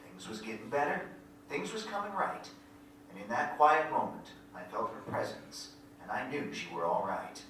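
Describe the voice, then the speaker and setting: A man's voice: medium pitch, very animated, moderate pace, a bit bright. An actor playing an earnest country boy who narrates his memories in a lyrical, reflective, folksy way at a staged reading. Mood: wistful. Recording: echoey hall, distant mic, faint noise.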